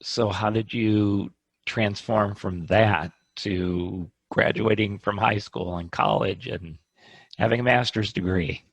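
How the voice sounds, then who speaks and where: A man's voice: fairly low pitch, steady tone, slow, vocal fry, no echo. A man interviewing a guest, asking short questions and murmuring encouragement. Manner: brief prompts and encouraging murmurs.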